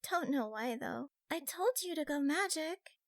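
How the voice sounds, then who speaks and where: A woman's voice: high-pitched, expressive, unhurried, no echo, clean studio sound. A woman voicing a perky, teasing young catgirl mage in an audiobook. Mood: cheerful. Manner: playful, teasing. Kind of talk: audiobook character acting.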